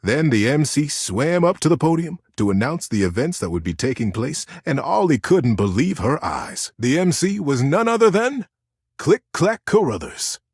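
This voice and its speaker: Male storyteller for a children's tale: mid-pitched, very animated, slightly husky, building gentle suspense, dry studio-like sound. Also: dry recording. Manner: gentle suspense, expressive emphasis. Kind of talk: dramatic children's storybook narration.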